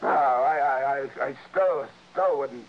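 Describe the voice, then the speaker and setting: An actor playing a stubborn, rattled small-time ex-convict in a 1960s radio drama, defensive and stammering, with rough streetwise grammar. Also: male voice, medium pitch, takes it slowly. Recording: dull, noisy vintage radio recording.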